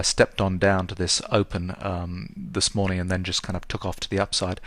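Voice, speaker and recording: Male market analyst, hoarse, very low and level delivery, hesitant and filler-heavy, dry close sound. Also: a bit bright, no echo. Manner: halting, many fillers and hedges. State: hoarse, sore throat. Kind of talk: chart analysis lesson.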